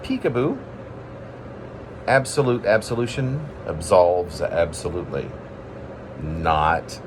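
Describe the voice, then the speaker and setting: Male poet, informal reader, lowish voice with big melodic swings, rambling and self-mocking, clearly noisy recording. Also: expressive, slow pace. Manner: self-deprecating, rambling, playfully dramatic. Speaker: poet reading own work. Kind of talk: informal poetry reading with chatty asides.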